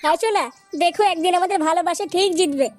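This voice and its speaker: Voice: extremely high pitch, racing, music in the background. An actor voicing a scheming, lovesick male cook in a comic cartoon. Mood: tender, reassuring. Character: scheming lovesick male wedding cook, grumbling yet tender.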